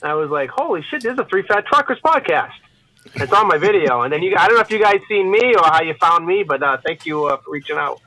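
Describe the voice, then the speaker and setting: Bright, higher-voiced male trucker guest. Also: very animated, fast talker, rough recording. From Boston area, United States. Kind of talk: casual chat. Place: parked car.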